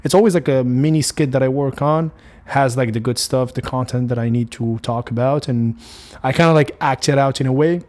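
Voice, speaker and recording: A man's voice: mid-range voice, brisk, darkish tone. A young man from Morocco with a Moroccan accent, Arabic his first language, talking through a casual solo podcast in a rambling way, full of restarts and fillers. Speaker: podcast host.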